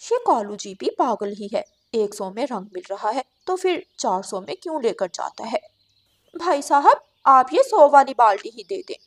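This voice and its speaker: A woman voicing a bossy, scheming wife crow in a children's cartoon, with a sharp, commanding manner. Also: fairly high pitch, very animated, normal speed. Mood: scornful. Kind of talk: Hindi children's cartoon dubbing.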